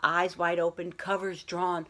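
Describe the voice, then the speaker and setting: A woman's voice: fairly low pitch, flat delivery, measured pace, pushed and bright. A middle-aged woman reading a picture book aloud to children, expressively, drawing out some words. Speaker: read-aloud host. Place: room at home, indoors.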